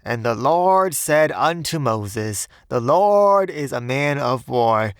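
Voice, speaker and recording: A man's voice: medium pitch, lively intonation, slow pace, clear, resonant tone, no room sound, clean studio sound, voice pushed up. A man voicing a blatant, bellowing preacher who declaims scripture wrathfully in an audiobook. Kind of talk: audiobook character voice.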